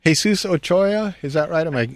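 A man's voice: on the high side, normal speed, no echo. A man hosting a radio interview, asking easygoing questions with frequent fillers.

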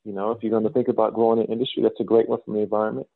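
Gravelly middle-aged American man, muffled line. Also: lowish voice, little pitch movement, no echo. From south side of Chicago, United States. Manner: explanatory, measured, often says "you know". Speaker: interview guest, business school dean. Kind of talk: conversational interview answers.

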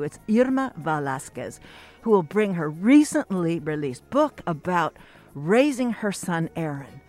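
A woman hosting a radio talk show, reading her guest's introduction and then interviewing her. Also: lowish voice.

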